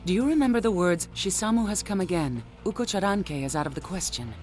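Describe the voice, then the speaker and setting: A woman's voice: medium pitch, lively intonation, quick pace, darkish tone, soft background music, close to an everyday voice. A woman playing a composed, decisive special-ops field leader in a video game, speaking in an assured, probing way. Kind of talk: English dub acting in a video game cutscene.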